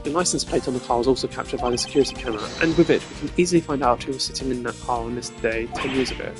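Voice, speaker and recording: A man voicing a composed, determined prosecutor from a video game, speaking formally and in measured phrases. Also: mid-range voice, music in the background, close to an everyday voice. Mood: confident, explanatory. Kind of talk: video game dialogue acting.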